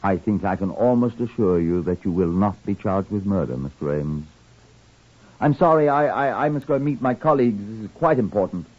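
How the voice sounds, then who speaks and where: A man playing a methodical, polite police chief superintendent who questions formally with hesitant pauses, in old-time radio crime drama style. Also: on the low side, animated, a little mellow. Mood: apologetic. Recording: dull lo-fi old radio recording.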